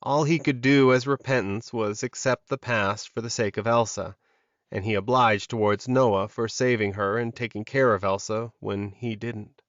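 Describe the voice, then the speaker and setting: A man's voice: fairly low pitch, steady tone, normal speed, dry recording, muffled recording. A man reading scripted fiction as an audiobook narrator, in a dramatic storytelling style.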